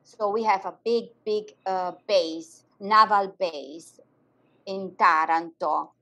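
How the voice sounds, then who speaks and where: Slow-talking woman with an Italian accent. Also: mid-range voice, steady tone, sharp tone, muffled recording. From Germany, to parents from southern Italy. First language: Italian and German. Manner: halting non-native English, frequent fillers and Italian interjections. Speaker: Italian teacher, interview guest. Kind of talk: conversational interview.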